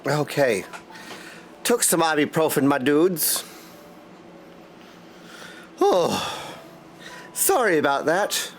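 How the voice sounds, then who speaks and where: A man's voice: a little high, big pitch swings, extremely slow, long pauses, a little hiss or hum. A man chatting casually and playfully on a game livestream, teasing himself with silly words. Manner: playful, self-teasing, goofy wordplay. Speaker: game streamer. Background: video game music.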